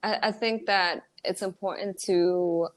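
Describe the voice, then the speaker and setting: A woman's voice: fairly low pitch, fairly even intonation, measured pace. A woman from the Dominican Republic, an author and entrepreneur guest, speaking earnestly and with motivational emphasis in casual interview talk.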